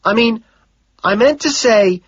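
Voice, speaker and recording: A soft, breathy young secretary woman, as a male narrator puts her on, pitched way up high above his own voice. She sounds hesitant and apologetic, tripping over her words. The recording is a little muffled. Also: a little slow, no room sound, exaggerated voice. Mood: worried. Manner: hesitant, apologetic, repeats herself.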